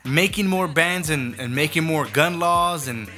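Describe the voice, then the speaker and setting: Man, host, casual comedic rant, profane, slightly high voice. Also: a little slow, soft background music. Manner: blunt, profane, animated.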